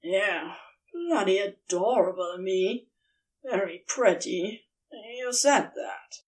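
A woman reading the lines of a sardonic male demon, in a gruff, sarcastic way. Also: medium pitch, animated, slow, distant mic, rough recording.

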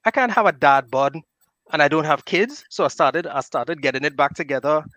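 A man's voice: a little high, lively intonation, fairly quick, fairly bright tone, no room sound. A man in his late thirties, a guest on a podcast, speaking in a reflective, earnest way. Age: late 30s. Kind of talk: casual chat.

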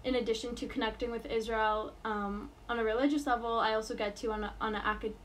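Higher-voiced young woman, hesitant and chatty. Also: fairly even intonation, fast talker, a little hiss or hum. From New York. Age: college student. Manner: hesitant, many fillers and restarts. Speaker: interviewee. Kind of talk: casual interview.

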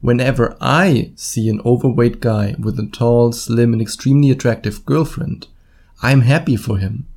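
A man's voice: mid-range voice, moderate pace, gravelly, darkish tone. A man with a German accent hosting a solo podcast, speaking informally and bluntly straight to the listener. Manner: informal, blunt, swears casually, acts out imagined quotes. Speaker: podcast host and dating coach. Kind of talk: solo podcast monologue, casual direct address.

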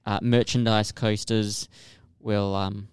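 A man co-hosting a casual podcast, upbeat and chatty, quick to react. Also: on the low side, flat delivery, smooth, no echo, studio quality. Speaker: podcast co-host.

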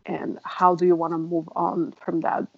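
A middle-aged woman from Bulgaria giving reflective advice in a conversation, laughing softly between points. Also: lowish voice, flat delivery, moderate pace, vocal fry, no room sound, muffled recording. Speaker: guest adviser.

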